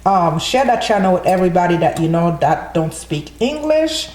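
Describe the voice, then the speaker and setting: A woman's voice: lowish voice, sing-song, normal speed, a little mellow. Casual woman host, friendly tutorial voice-over, a bit of room echo. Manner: friendly, chatty, addresses viewers as guys. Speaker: cooking channel host. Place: home kitchen.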